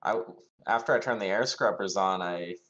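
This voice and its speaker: Low-voiced man in casual roleplay. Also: normal speed, fairly bright tone, muffled recording. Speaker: player. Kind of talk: casual joking tabletop roleplay.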